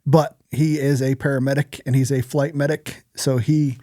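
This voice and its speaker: A man's voice: medium pitch, level tone, moderate pace, dark tone, dry recording, clean studio sound. A man co-hosting a podcast in casual conversation, talking bluntly and plainly with some casual swearing. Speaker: podcast co-host.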